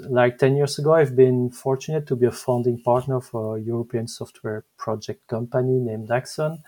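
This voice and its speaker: A Belgian man in his fifties with a French accent, a podcast guest answering questions in a thoughtful, halting way as he searches for words. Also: mid-range voice, creaky, dark tone. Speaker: guest, software engineer. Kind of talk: interview answers.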